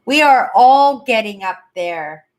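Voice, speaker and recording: A woman in her fifties talks to her viewers from her apartment in a slightly high voice. She rambles, circles back over her own words and keeps checking in with "right?". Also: expressive, slow pace. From Canada. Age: 50s. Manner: rambling, repeats herself, tags sentences with "right?". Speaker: livestream host. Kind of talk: casual live chat to viewers.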